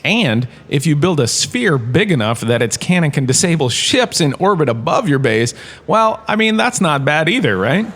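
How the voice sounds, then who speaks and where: A man's voice: on the high side, sing-song, moderate pace, fairly bright tone. A man playing a washed-up showbiz star turned university lecturer, giving a chatty, jokey scripted lecture in a comedy audio drama. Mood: chatty, explanatory. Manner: chatty, jokey, showman-like salesman patter.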